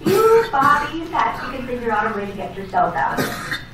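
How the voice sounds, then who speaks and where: A woman acts out a fame-hungry, defiant young woman in an old soap opera. Her voice is high and swoops with brash drama. It sounds very roomy, like an old recording. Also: sing-song, a little fast, a bit bright. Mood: boastful, brash. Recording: echoey, distant old soap opera recording, faint noise.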